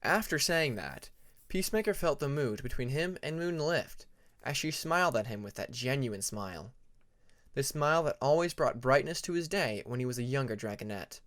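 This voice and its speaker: A man reading a fantasy story aloud as scripted narration, in a measured storybook manner. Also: medium pitch, expressive, fairly bright tone. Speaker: fan fiction audiobook narrator.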